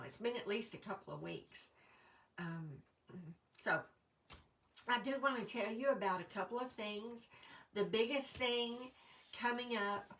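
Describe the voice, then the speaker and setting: A middle-aged woman with a stuffy, congested voice, chatting casually to viewers, with lots of ums and throat clearing. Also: mid-range voice, fairly bright tone, far from the mic. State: congested from allergies. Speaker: crafting demonstrator. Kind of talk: casual live-stream chat.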